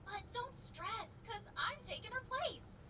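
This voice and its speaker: A woman's voice: very high-pitched, expressive, measured pace, recorded from a distance, background noise. An actress playing a perky, teasing young woman concierge in an anime game, bubbly and slangy. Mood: breezy, cheeky. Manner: bubbly, casual slang, playful mock hurt. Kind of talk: anime video game dub.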